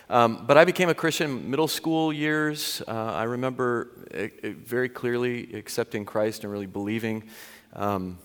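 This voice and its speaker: A middle-aged man from the Pacific Northwest, a college president giving a candid, reflective personal testimony with a self-deprecating streak. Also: lowish voice. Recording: large hall with long reverb.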